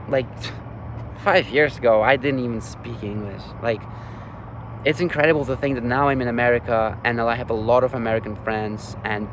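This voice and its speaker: A man's voice: medium pitch, background noise. A young man from Ukraine with a European accent, rambling casually through a vlog update with lots of filler words. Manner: rambling, many "like" and "you know" fillers. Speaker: vlogger.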